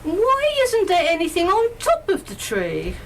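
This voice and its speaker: Woman as a high, lively, sceptical lady passenger. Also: measured pace, noisy background, full character voice. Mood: questioning. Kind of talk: amateur live radio play acting.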